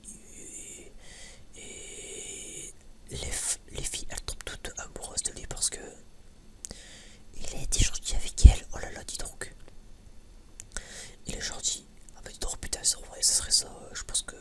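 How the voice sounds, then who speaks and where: A man's voice: a little slow, long pauses. Whispering man, hesitant ASMR ramble. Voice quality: breathy, unvoiced whisper. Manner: hesitant and rambling, many false starts. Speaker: ASMR video creator. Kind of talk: whispered ASMR review, casual and unscripted.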